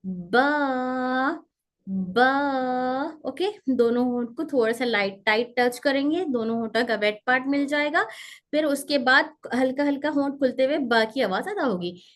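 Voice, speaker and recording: A woman with a high voice teaches a pronunciation class, patiently repeating each letter sound several times to demonstrate it. She explains in a steady teaching manner and mixes in English words. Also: a little slow. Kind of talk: online class lesson.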